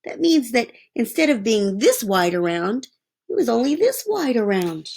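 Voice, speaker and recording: A woman's voice: fairly high pitch, very animated, normal speed, gravelly, a little mellow, slight background noise. A woman reading a children's storybook aloud like a teacher, explaining things simply for young listeners. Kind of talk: storybook read-aloud with plain asides.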